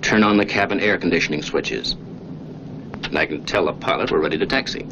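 A man's voice: low voice, normal speed. An actor in his thirties playing a self-assured trainee flight engineer, clipped and procedural, in a 1940s training film. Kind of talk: 1940s training film acting, checklist recitation. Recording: muffled, noisy old film soundtrack.

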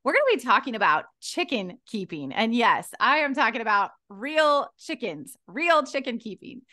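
Upbeat woman podcast host, high, bright, sharp voice, enthusiastic. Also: sing-song, normal speed. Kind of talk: scripted podcast introduction. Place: home room. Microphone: headset on video call.

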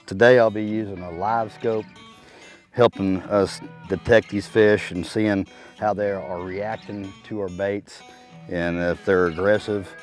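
Man, lowish voice, casual angler narration, explanatory, soft guitar backing. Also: fairly even intonation, unhurried. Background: plucked guitar music.

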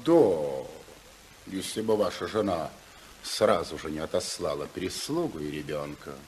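Evasive informer, slow, animated intonation, hesitant, sly, vintage radio drama. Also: male voice, low-pitched, slight background noise. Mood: sly, insinuating. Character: evasive, insinuating male informer, falsely reluctant. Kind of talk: 1950s Soviet radio drama acting.

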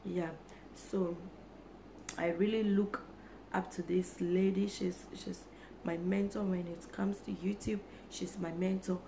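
A hoarse woman, chatting slowly to camera for her channel and often repeating herself. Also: lowish voice, flat delivery, mellow, slight background noise, rough recording. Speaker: YouTuber. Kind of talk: casual talk to camera. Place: small indoor room.

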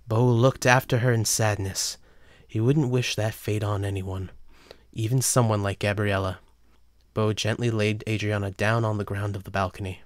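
Male narrator, lowish pitch, scripted storytelling, dry sound without room. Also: flat delivery, normal speed, no echo.

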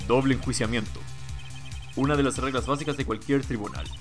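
A man's voice: mid-range voice, brisk, faint music behind, natural voice. A man voicing a smug, cool, unyielding male prosecutor in an amateur reading of a courtroom video game. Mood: smug, stern.

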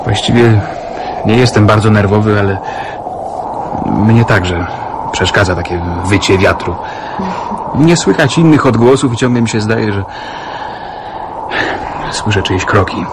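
An actor playing an educated, dryly ironic man with a wry, measured manner in a radio drama. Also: fairly low pitch. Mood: uneasy. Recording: noisy radio play recording.

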